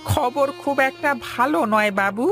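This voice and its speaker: A woman's voice: high voice, normal speed, fairly bright tone, faint music behind. A woman voicing a loyal, deferential male servant in a cartoon, eager to reassure the master. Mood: grave. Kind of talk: cartoon voice acting.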